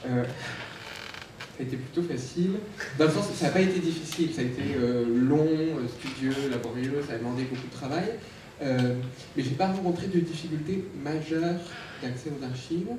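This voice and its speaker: Panel speaker, man, slightly high voice, halting delivery full of fillers; far from the mic in a very echoey hall. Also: background noise. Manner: hesitant, many fillers and restarts. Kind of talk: conference panel talk. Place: large lecture hall.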